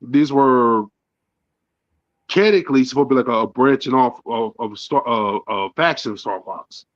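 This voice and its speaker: A man in his thirties rambles through casual podcast chat, full of fillers and restarts. His voice sits at a middle pitch. The audio is dry and a little dull. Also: moderate pace, no echo. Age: 30s. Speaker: podcast co-host.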